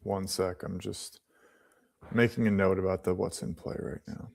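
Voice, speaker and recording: A man's voice: deep voice, steady tone, normal speed, gravelly, darkish tone. A man in his late twenties co-hosting a live trading stream, patiently walking through chart settings and restarting his phrases. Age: late 20s. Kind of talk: casual live-stream explaining.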